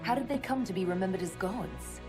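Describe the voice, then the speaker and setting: An actress playing a steadfast heroic leader in a video game, questioning in a serious, direct way. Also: female voice, mid-range voice, expressive, a little fast, over music, lightly acted. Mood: probing. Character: female leader of an inquisition, probing, steadfast hero. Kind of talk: dramatic video game dialogue.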